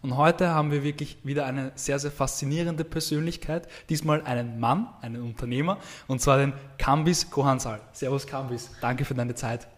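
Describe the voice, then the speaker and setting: A man's voice: mid-range voice, fairly even intonation, normal speed, hardly any pauses. A man hosting a podcast interview, upbeat and enthusiastic, with frequent affirmations. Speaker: podcast host.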